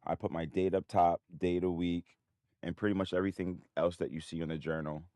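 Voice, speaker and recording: A man hosting a trading livestream in a casual, rambling way, his voice a bit hoarse. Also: deep voice, level tone, fairly quick, warm tone, no echo, studio quality. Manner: rambling, many restarts and fillers. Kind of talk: casual live-stream talk.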